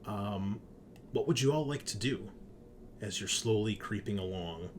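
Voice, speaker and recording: A man with a fairly low voice acts as game master for a tabletop roleplaying game. He explains the setting conversationally and speaks straight to his players. There is faint noise behind him. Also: a little slow. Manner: explanatory, addresses players directly.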